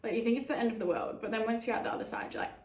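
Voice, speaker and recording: Aussie girl in her twenties, chatty and giggly, kinda high voice, echoey room. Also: rapid-fire, darkish tone. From Australia. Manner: chatty, giggly, lots of "like" fillers. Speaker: YouTuber answering fan questions. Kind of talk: casual Q&A chat.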